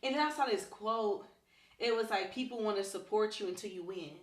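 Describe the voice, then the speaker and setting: A woman's voice: medium pitch, a little fast, roomy sound. A woman co-hosting a podcast in casual, colloquial conversation. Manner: colloquial, informal. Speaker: podcast co-host. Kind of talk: casual chat.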